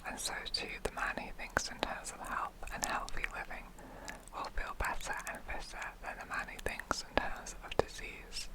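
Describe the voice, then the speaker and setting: Hushed, fully whispered ASMR reading. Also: moderate pace, poor audio. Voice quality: breathy unvoiced whisper. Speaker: ASMR reader. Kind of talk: ASMR reading of a 1940s wartime health broadcast.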